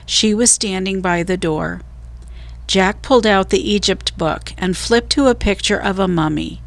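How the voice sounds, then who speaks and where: A woman narrating a children's storybook as a reader, with deliberate, suspenseful storytelling. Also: medium pitch, vocal fry.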